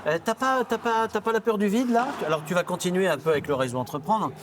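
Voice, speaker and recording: Male TV interviewer, fairly high pitch, informal, chatty questioning, noticeable background noise. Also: quick pace. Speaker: television interview host. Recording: clear background noise and ambience.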